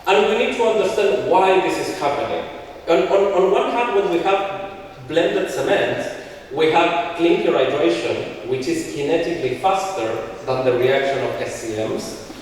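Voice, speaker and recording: A man's voice: fairly high pitch, expressive, moderate pace, recorded from a distance, a little hiss or hum. Man lecturing in an echoey hall. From Chile. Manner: explanatory, walks through slides with frequent restarts. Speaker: conference speaker. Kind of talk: technical conference talk.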